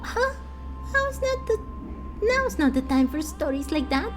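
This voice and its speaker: A woman voicing a small, excitable, fussy fairy companion from a game, squeaky and exclamatory. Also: a little slow, over music, full character voice. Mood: flustered, scolding. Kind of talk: fan voice-over of game dialogue.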